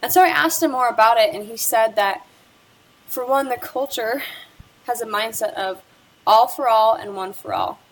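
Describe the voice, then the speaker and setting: A woman's voice: a little high, moderate pace, rough recording. A young woman presenting a school project interview, reading a prepared script about the person she talked with. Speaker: student presenter.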